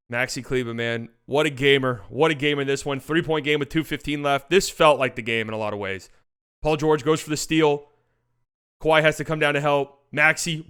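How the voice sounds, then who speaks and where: High-pitched, smooth-voiced male basketball commentator. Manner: animated fan talk, swears freely. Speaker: sports show host. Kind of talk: casual basketball highlight commentary.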